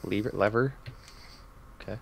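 A man's voice: lowish voice, normal speed, vocal fry, slight background noise. A man chatting casually and with joking sarcasm as he plays a co-op speedrun, keyboard clicking behind him. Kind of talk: casual chat while gaming. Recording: faint keyboard clatter and clicks.

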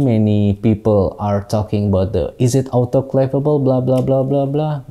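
Slow, dark-voiced Indonesian man unboxing. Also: medium pitch. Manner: unhurried, halting non-native English with many fillers. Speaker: tattoo artist reviewing gear. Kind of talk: casual product unboxing. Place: small indoor tattoo studio room. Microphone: lapel mic.

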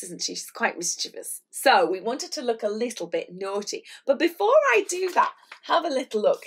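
A middle-aged woman leading a children's drawing lesson in a chatty, encouraging, animated way. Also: on the high side, breathy edge. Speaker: illustrator leading a children's draw-along. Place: small indoor room at a whiteboard.

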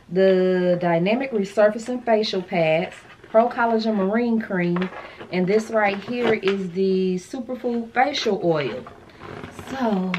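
An American woman in her thirties chatting casually to camera in a sassy, slangy, animated way, as a vlogger showing a haul. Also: medium pitch, background noise. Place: furnished room at home, indoors.

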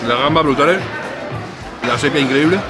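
A man in his thirties with a Spain Spanish accent, reacting to plate after plate in casual, exclamatory commentary. His voice sits somewhat high for a man. Loud music and the chatter of a packed dining hall fill the background. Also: normal speed. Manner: enthusiastic, exclamatory, describing dishes. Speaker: food vlogger host. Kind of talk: casual food-tasting commentary. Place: busy indoor restaurant dining hall.

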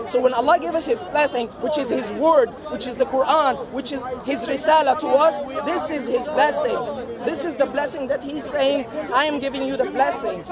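A man debating outdoors, explaining at length with earnest repetition; English is not his first language. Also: very high voice. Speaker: street debater. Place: outdoor park among a crowd. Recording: crowd chatter outdoors.

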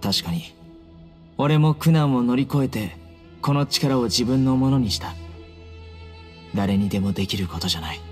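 A man playing a stern, composed young desert judge in an anime game, speaking in a measured, solemn way. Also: medium pitch, animated, darkish tone, close to an everyday voice. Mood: resolute. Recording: game music behind the voice.

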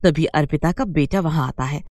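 A woman reading scripted narration for an animated moral story. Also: deep voice, moderate pace, no room sound, clean studio sound.

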